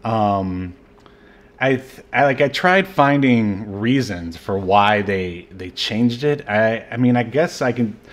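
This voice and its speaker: A man's voice: on the low side, lively intonation, takes it slowly. A man co-hosting a podcast, chatting casually about an old movie, rambling with lots of fillers and restarts. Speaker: podcast co-host. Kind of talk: casual movie review chat.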